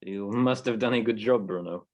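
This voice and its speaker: An actor playing a cold, menacing racketeer boss in a 1940s style radio drama. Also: male voice, fairly low pitch, moderate pace, darkish tone, dull audio, natural voice.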